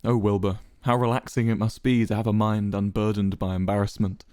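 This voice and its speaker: A man's voice: on the low side, smooth, no room sound, close to an everyday voice. Man playing a smug, controlled president, dry sound. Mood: cold, scornful. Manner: calm, deliberate, condescending. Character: smug, controlled male president, coolly cutting.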